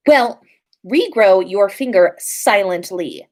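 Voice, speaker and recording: A woman's voice: mid-range voice, expressive, slow pace, darkish tone. Storybook role: snappish, jealous schoolgirl witch, played by a female reader, imperious, swooping delivery. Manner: demanding, snappish. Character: haughty, jealous teenage pure-blood witch schoolgirl. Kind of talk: storybook character voice.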